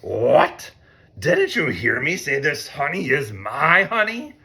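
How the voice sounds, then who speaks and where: A man acts out a big storybook bear, greedy and boastful. He growls and bellows his threats slowly, with a bright, forceful edge and swooping delivery. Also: a little high, wildly swooping pitch, vocal fry, husky, full character voice. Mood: angry. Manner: slow, menacing, boastful. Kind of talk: children's storybook read-aloud.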